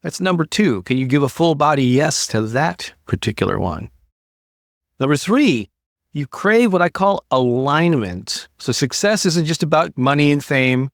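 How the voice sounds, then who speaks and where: A man's voice: a little high, expressive, unhurried, no room sound, studio quality. An older man hosting a motivational talk for an online audience, speaking in a conversational, encouraging way and stressing key words. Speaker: host, motivational creativity coach.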